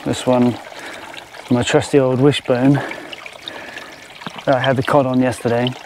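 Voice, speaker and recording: A man's voice: medium pitch, level tone, a little slow, background noise. A man presenting his fishing trip in casual, unscripted talk to camera, outdoors by the water. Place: outdoors by a fjord shore.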